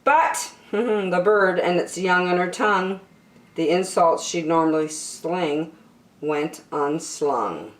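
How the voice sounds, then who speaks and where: A woman reading a picture book aloud to children in an expressive way, drawing out key words for emphasis. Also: on the low side, slow, reverberant, low-quality recording. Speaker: children's story reader.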